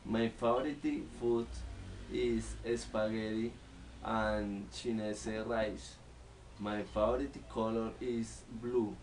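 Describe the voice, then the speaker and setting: A young man from Colombia in his twenties, speaking English with a Colombian Spanish accent as a learner and reciting a self-introduction word by word with pauses. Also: lowish voice, fairly even intonation, a little mellow, recorded from a distance, a little hiss or hum, low-quality recording. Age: 20s. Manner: halting, word-by-word delivery with pauses. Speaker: student. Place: small living room at home. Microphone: camera in front of the speaker.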